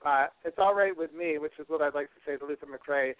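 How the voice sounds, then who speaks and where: A man's voice: a little high, brisk, clean tone, no echo, phone-quality sound. A man hosting a podcast in a conversational, effusive and emphatic way. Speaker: podcast host.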